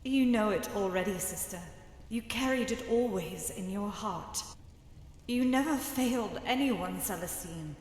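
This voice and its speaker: A woman voicing the knowing spirit of a dead sister in a game mod, measured and portentous, with hushed phrase endings. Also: mid-range voice, expressive, breathy edge. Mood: tender, forgiving. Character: long-dead sister's spirit, solemn, knowing, finally forgiving. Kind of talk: dramatic video game mod voice acting.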